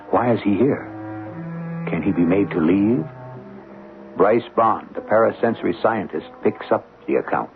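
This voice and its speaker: An actor playing the ominous male host and narrator of a 1970s radio mystery drama, speaking in a gravelly, deliberate way. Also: lowish voice, background noise. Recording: narrow phone-like old radio broadcast with music.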